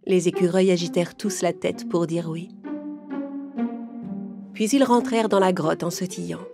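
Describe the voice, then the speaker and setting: A woman's voice: on the low side, steady tone, moderate pace, gravelly. A woman storyteller reading a scripted children's fairy tale. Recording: music behind the voice.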